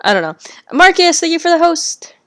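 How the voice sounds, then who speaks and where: A woman's voice: extremely high pitch, sing-song, a little fast, fairly bright tone. A woman cohosting a podcast in chatty, casual talk, swearing now and then, speaking into a headset microphone at home. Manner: chatty, swears casually, explains tech setup.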